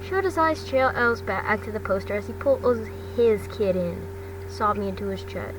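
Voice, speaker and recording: Female narrator, mid-pitched, halting fan-fiction read with stumbles, recorded off mic with clear background noise. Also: animated, distant mic. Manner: halting reading with frequent stumbles.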